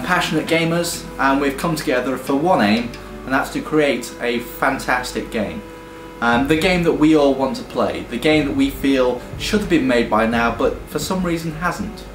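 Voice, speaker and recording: A man's voice: medium pitch, moderate pace. Enthusiastic British man in an echoey room. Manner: enthusiastic, with frequent ums and restarts. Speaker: studio founder pitching a game. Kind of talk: earnest crowdfunding pitch. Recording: echoey room with faint music.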